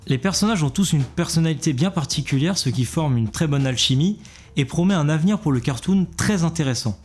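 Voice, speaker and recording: A man's voice: on the high side, animated, brisk, a little mellow, breathy edge. A young adult man co-presenting a cartoon review show, giving scripted commentary with casual asides.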